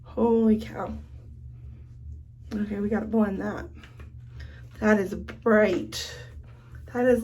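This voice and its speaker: A woman's voice: mid-range voice, gravelly, darkish tone, slight background noise. A woman vlogger who is sick and losing her voice, chatting casually while she does her makeup. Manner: chatty, thinks aloud while applying makeup. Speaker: beauty vlogger. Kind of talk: casual get-ready-with-me makeup chat.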